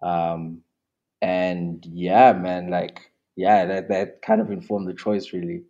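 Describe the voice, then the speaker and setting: A man's voice: low voice, little pitch movement, slow, mellow, dull audio. A young South African man talking casually as an interview guest, rambling with many restarts and fillers.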